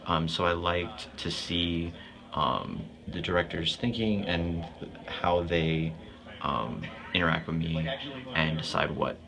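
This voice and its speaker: Deep-voiced male interviewee, plenty of vocal fry, hesitant delivery, crowd noise behind. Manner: hesitant, pausing often. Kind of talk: casual interview answers. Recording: crowd chatter in the background.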